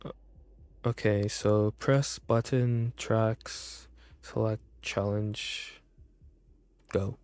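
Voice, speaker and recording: A man's voice: on the low side, little pitch movement, extremely slow, pauses often, a little mellow, smooth. A man streaming a video game with casual, rambling, informal commentary. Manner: informal, rambling, mild swearing. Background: game music.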